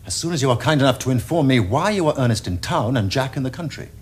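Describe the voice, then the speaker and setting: Lively, flippant young Victorian dandy. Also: male voice, medium pitch, fast, slightly rough. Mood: mocking, playful. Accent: upper-class English. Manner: arch, drawling, theatrical. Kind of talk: period stage comedy acting for television.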